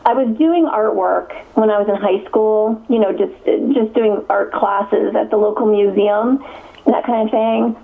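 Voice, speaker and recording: A woman's voice: mid-range voice, measured pace, vocal fry. A woman from Connecticut, an author, answering interview questions in a chatty way, full of fillers and restarts and frequent laughs. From Connecticut, USA. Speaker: author guest. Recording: tinny phone line.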